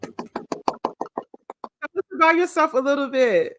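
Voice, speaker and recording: A woman's voice: high voice, big pitch swings, slow, noisy background. A woman hosting a casual chat show, introducing her guest with emphatic, playful enthusiasm. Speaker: host.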